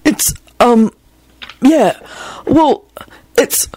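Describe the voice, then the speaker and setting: A woman's voice: mid-range voice, expressive, normal speed, darkish tone, no room sound, lightly acted. A woman voicing an awkward, earnest young man in a storybook reading, hesitant and apologetic. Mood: flustered.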